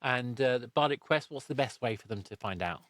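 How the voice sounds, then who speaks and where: A man's voice: mid-range voice, animated, fairly quick, fairly bright tone, dry recording. A man hosting a casual interview, encouraging and affirming as he talks. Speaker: podcast host, interviewer.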